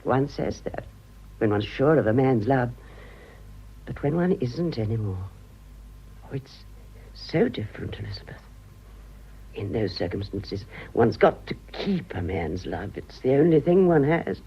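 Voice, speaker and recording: A woman's voice: rumbling low, very animated, unhurried, long pauses, lo-fi sound, a little hiss or hum, heavily acted. An actress playing a worldly, rueful older mother-in-law, pleading with emotional, hesitant delivery in a radio drama. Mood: bitter, earnest.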